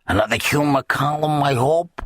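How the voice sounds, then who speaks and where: A man voicing a gruff, fat, middle-aged thuggish tabloid editor in a nasal, gravelly mobster voice. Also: fairly high pitch, moderate pace, fairly bright tone, dry recording, clean studio sound, voice pushed up, natural voice. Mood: expectant.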